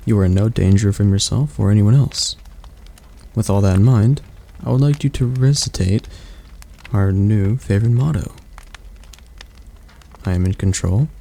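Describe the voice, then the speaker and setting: A man voicing a male demon tutor who calmly coaches a young royal in an ASMR roleplay, speaking slowly and softly in a coaxing way. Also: fairly low pitch, gravelly. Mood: reassuring. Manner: slow, soft-spoken, coaxing.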